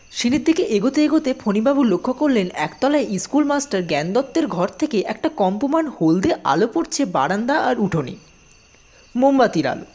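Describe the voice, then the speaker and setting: A woman narrating a scripted audio story in an expressive storytelling manner. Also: fairly high pitch.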